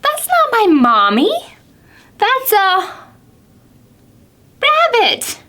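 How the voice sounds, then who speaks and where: Woman doing a tiny lost baby owl, squeaky and plaintive. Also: wildly swooping pitch, extremely slow, bright, forceful tone, heavily acted. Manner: high, whimpering childlike pleading.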